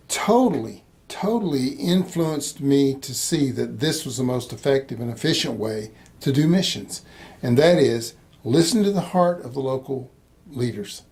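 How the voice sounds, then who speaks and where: A man in his sixties hosting an interview in a relaxed, conversational way, with frequent restarts and easy laughter. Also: a little high. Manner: rambling, hesitant restarts, laughs easily. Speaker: interviewer, missions organization host.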